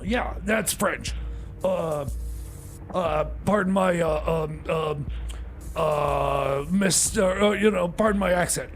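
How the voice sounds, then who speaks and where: A man playing a flustered, grumpy, bumbling radio DJ who stammers and rambles haltingly through his music show. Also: on the high side. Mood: flustered, bewildered. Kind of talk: comedic community radio DJ chatter.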